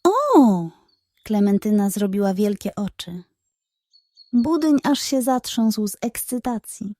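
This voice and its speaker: A woman's voice: medium pitch, unhurried, dark tone, dry recording, studio quality. A woman narrating a children's audiobook as storyteller, expressively.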